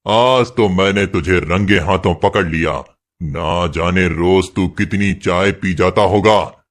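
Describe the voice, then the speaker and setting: A man's voice: deep voice, slow. A man voicing a stingy, harsh tea-shop boss in a cartoon moral story, bossy and sarcastic. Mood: angry, sarcastic. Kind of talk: animated moral story acting.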